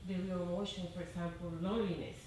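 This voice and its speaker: A woman far from the microphone in a very reverberant, noisy room shares her own experience with a group. She speaks haltingly, feeling her way through each thought. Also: lowish voice, little pitch movement, a little mellow. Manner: hesitant, halting. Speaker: meditation group participant. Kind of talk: personal sharing in group discussion. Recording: echoey, distant microphone, noisy background.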